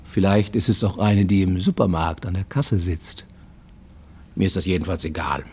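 An actor playing a paranoid, self-justifying fugitive who works as an illicit medic, narrating formally and deliberately in a radio play monologue. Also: male voice, low-pitched, steady tone, darkish tone, slightly rough. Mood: bitter, matter-of-fact. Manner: formal, deliberate, insistently explaining himself to a listener.

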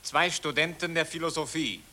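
A man announcing scenes tersely in a measured way in a 1940s radio play recording. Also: on the high side, pushed and bright. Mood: neutral.

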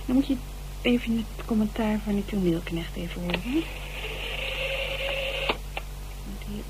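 A woman's voice: mid-range voice, a little fast, natural voice. A woman playing an eager assistant investigator in a radio drama, speaking colloquially and emphatically. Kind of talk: naturalistic Dutch radio drama dialogue. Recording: noisy radio-play recording.

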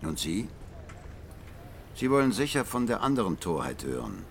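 A man's voice: lowish voice, big pitch swings, normal speed, creaky, a little hiss or hum. A man playing a blind music critic in a radio drama, self-mocking, theatrical and brooding in his confessional talk. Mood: sardonic, insistent. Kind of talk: radio drama monologue and dialogue.

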